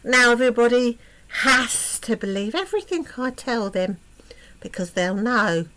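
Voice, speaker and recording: A woman putting on a loud crude bragging princess. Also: a little high, animated, unhurried, pitched above the performer's own voice, low-quality recording. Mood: gloating. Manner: loud, crude, boastful, swearing. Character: brash foul-mouthed young princess, secretly a troll.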